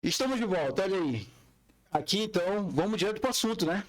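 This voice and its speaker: A man's voice: sits high, expressive, fast, faint music behind. A man hosting a podcast interview in casual, conversational Portuguese. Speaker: podcast host.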